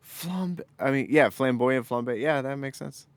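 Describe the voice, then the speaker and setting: A man's voice: medium pitch, unhurried, no echo. A man co-hosting a podcast, chatting casually with joking, sweary banter. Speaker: podcast co-host.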